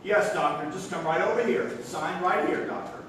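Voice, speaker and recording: A man plays a flustered young nephew in a staged radio comedy. He is excitable and prone to stammering, in a high voice. The sound is roomy and distant, with a faint noise. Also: moderate pace, natural voice. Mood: insistent.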